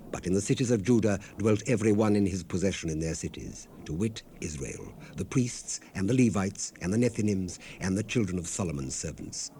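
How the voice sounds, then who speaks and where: Male narrator for dramatized scripture: fairly deep, deliberate, solemn. Vintage cassette sound, slightly noisy. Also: warm tone. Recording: hissy unprocessed 1970s cassette rip.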